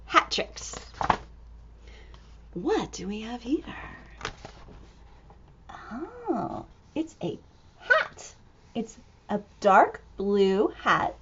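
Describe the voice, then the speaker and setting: A woman reading a picture book aloud to young children in an expressive, exclaiming way, drawing out words and dropping into hushed asides. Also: fairly high pitch, very slow, pauses often, lo-fi sound, slight background noise.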